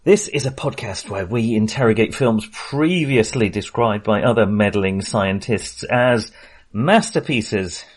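A man's voice: lowish voice, unhurried, slightly rough. Man, middle-aged, podcast host, casual film chat, jokey, self-deprecating.

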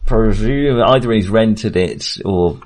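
Male podcast host, lowish pitch, conversational film chat, tentative phrasing with frequent rewording. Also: slow, a little mellow. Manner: hesitant, circling back to rephrase. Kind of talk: casual film discussion.

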